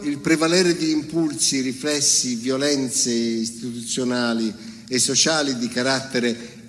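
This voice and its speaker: A man's voice: fairly high pitch, long reverb tail. A man reading out a political speech in a solemn, indignant, declamatory way. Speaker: reader.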